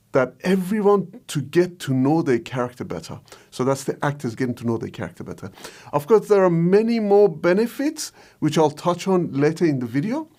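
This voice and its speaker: A man's voice: a little high, dark tone. A man presenting a scripted explainer as a video host and filmmaker. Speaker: video host, short film director.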